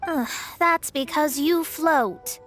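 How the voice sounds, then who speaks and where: A woman's voice: sits high, slow, a bit bright, soft background music. A woman voicing a proud young female astrologer in an anime-style video game, pompous and formal but self-conscious. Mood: weary, huffy.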